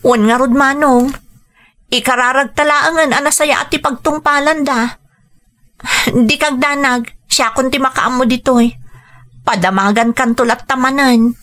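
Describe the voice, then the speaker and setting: A woman's voice: sits high, fairly even intonation, unhurried, pauses often, a bit bright. A woman with an Ilocano accent playing a caring, exasperated grown daughter in an emotional radio drama. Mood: resigned, concerned.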